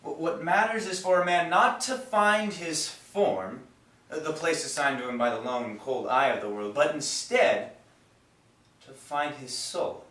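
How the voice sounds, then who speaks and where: A man performing a stage monologue as an earnest, disillusioned writer who muses philosophically and deliberately. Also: a little high, a bit bright. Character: earnest, disillusioned male writer musing on life. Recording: echoey room, far from mic.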